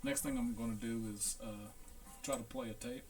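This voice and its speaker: A man talking through a hands-on equipment check as a repair technician, in a casual, unhurried, halting way, thinking aloud. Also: mid-range voice, fairly even intonation, a little mellow, soft background music. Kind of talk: casual hands-on demonstration narration.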